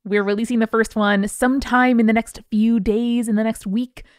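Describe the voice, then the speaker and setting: A woman's voice: fairly high pitch, fairly even intonation, moderate pace, no room sound, studio quality. A woman co-hosting a podcast in a casual, chatty style with announcements for listeners. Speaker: podcast co-host. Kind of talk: casual scripted-feeling podcast chat.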